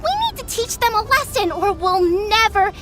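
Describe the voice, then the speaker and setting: Pompous, squeaky little fairy royal. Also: female voice, animated, moderate pace. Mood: indignant. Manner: pompous, imperious, theatrical. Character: tiny boastful royal bratty female fairy spirit. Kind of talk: video game character acting. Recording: loud music behind.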